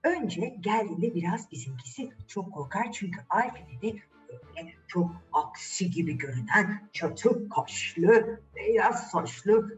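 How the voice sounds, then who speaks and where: A woman hosts a children's fairy tale with a very animated, swooping delivery. She chats directly to young listeners and asks them questions. The sound is a little distant and dull, with faint music behind her. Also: medium pitch. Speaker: storyteller and channel host.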